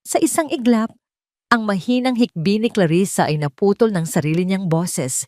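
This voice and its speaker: A woman's voice: mid-range voice, moderate pace, a little mellow, no echo, studio quality. A woman reading a scripted story as a dramatic narrator.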